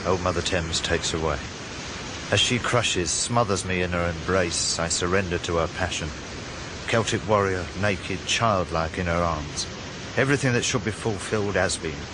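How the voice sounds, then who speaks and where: A man's voice: deep voice, moderate pace, noisy background, natural voice. An actor playing a vengeful working-class man with a London accent, intense and poetic, in a first-person radio drama. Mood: grim, resigned. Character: brooding, vengeful working-class man narrating his own revenge. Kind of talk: first-person dramatic narration with heated dialogue.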